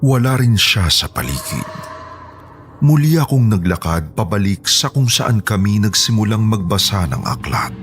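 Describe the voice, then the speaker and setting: A man's voice: fairly low pitch, animated, normal speed, faint music behind. A man with a Filipino accent reading scripted first-person horror narration.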